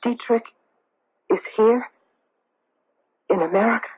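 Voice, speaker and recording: An actress playing a guarded, anxious middle-aged socialite with a secret in a 1970s radio drama, speaking in a clipped, defensive, emotional way. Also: female voice, fairly high pitch, takes it slowly, breathy edge, full character voice. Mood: wary, shaken. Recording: muffled tinny old radio broadcast.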